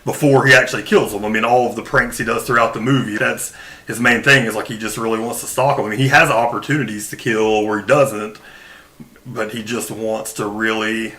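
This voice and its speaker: A man on a podcast panel, explaining his points casually in an animated, rambling way. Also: on the low side, fairly quick. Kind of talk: casual film discussion.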